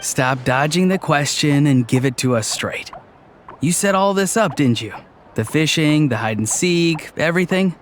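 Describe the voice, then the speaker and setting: A man's voice: on the high side, expressive, moderate pace, slight background noise, lightly acted. An actor playing a playful, probing young man in a video game, wry and teasing with a friendly touch. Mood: pressing.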